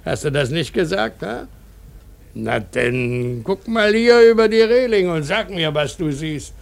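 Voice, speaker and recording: An actor playing a blustering old whaling captain in a German radio play, gruff, bullying and mocking. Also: male voice, fairly high pitch, expressive, a little slow. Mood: challenging, mocking.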